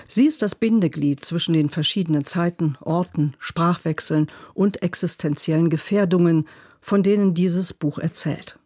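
Measured radio book critic woman. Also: lowish voice, mellow, no room sound, studio quality. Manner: measured, precise literary reading. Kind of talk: scripted radio book review. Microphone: studio microphone.

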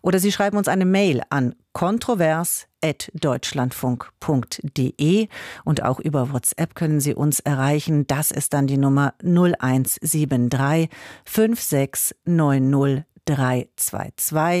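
A woman hosting a radio talk show, reading her introduction in a clear, measured way. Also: low-pitched, dark tone, no echo, clean studio sound. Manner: clear, measured, reads numbers slowly. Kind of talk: scripted radio moderation. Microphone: studio microphone.

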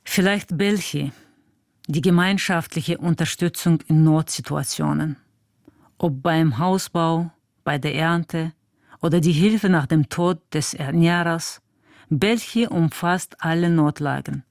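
A woman giving prepared answers in a measured, articulate way. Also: low voice, a little mellow, no echo, clean studio sound.

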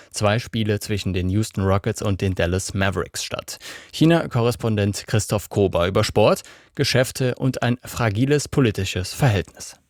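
A man's voice: fairly low pitch, dry recording, clean studio sound. A man presenting a scripted sports news programme on the radio.

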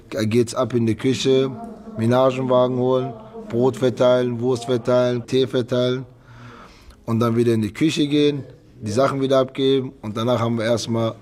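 A man's voice: medium pitch, darkish tone. A man in his mid-thirties speaking casually as an interviewee, in simple colloquial phrasing with articles often dropped. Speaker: prison inmate being interviewed. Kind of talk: casual spoken interview account.

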